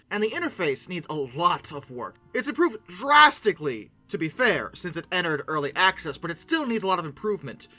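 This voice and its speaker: Sarcastic video reviewer, lively swooping pitch and a very bright tone, informal and theatrical, faint music underneath. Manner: theatrical, swings into mock-outraged rants; sarcastic asides. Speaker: video game reviewer and host. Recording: music bed behind the voice.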